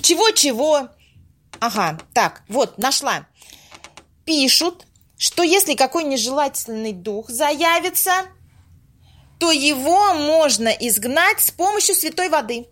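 Woman playing a grumbly bossy séance organiser, high voice, snippy, audio play style. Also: sing-song, slow, fairly bright tone, heavily acted. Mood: explaining. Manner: snippy, colloquial, instructing. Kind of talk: amateur audio play acting.

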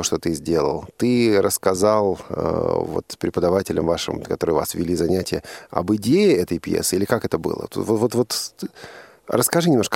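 A man's voice: low-pitched, creaky, darkish tone, no echo, clean studio sound. A man hosting an informal radio interview, probing by repeating and rephrasing his questions. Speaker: radio host interviewing a young guest.

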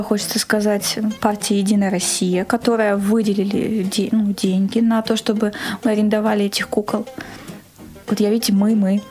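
A woman in a casual interview, recounting an event as its organizer in an animated storytelling way. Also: mid-range voice. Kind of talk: casual spoken interview recollection.

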